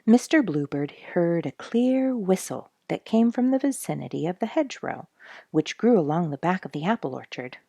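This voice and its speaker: A woman storyteller reading a children's book expressively, with deliberate pauses and casual asides. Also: lowish voice, very animated, creaky, mellow, no room sound.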